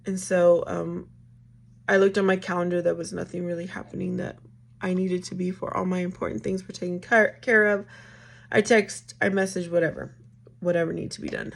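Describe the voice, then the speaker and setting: A woman in her thirties with a raspy voice, chatting casually to viewers at home in a rambling, friendly way. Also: lowish voice, level tone, normal speed. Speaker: vlogger. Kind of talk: casual get-ready-with-me chat.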